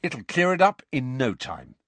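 A man voicing a gloomy teenage boy who speaks in a low mutter. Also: sing-song, moderate pace, fairly bright tone, dry recording.

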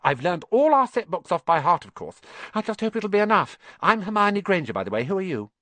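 A man voicing a bossy, eager, know-it-all young schoolgirl in a children's audiobook, speaking in a rapid, emphatic rush. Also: sits high, very animated, fairly bright tone, dry recording, voice pushed up. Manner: rapid, breathless, emphatic delivery.

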